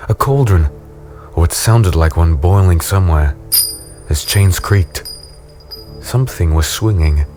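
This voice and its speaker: A man playing a battered, weary warrior who narrates a dark fantasy audio drama in a grim, halting, brooding way. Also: low voice. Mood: pained, grim. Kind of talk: dramatic first-person scripted narration. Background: music.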